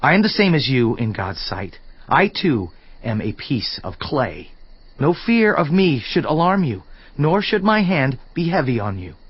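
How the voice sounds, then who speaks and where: A man's voice: medium pitch, sing-song, a little slow, slightly rough, no room sound. Elihu, a man playing an outspoken young man. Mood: urgent. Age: young adult. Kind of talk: dramatized scripture reading. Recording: slightly muffled audio.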